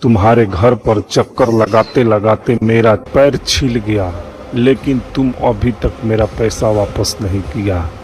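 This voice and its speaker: A man playing a greedy, threatening old village moneylender in a cartoon story, in a stern, deliberate manner. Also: lowish voice, a little mellow, a little hiss or hum. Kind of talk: cartoon moral-story character acting.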